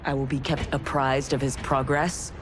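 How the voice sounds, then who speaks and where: Low-voiced, loyal ninja warrior. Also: female voice, level tone, normal speed, noisy background. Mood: guarded. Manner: firm, formal. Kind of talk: video game cutscene acting.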